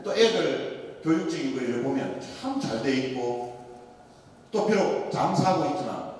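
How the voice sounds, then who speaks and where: Male priest, Daegu accent, joking sermon storyteller, long church reverb. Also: mid-range voice. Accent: Daegu dialect. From Daegu, South Korea. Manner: animated, joking storyteller with rhetorical questions. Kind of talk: Lenten sermon lecture. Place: large church hall. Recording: echoey church hall, mic far off.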